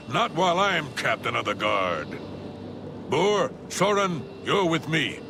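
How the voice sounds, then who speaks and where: An actor playing a gruff, resolute captain of a fantasy city guard in a video game, blunt and commanding. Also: male voice, a little high.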